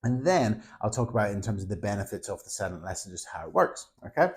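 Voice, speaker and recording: A man's voice: fairly low pitch, quick pace. A man, a maths teacher casually and animatedly explaining a classroom method to other teachers, often checking in with "okay?". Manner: chatty, animated, tags lines with "okay?".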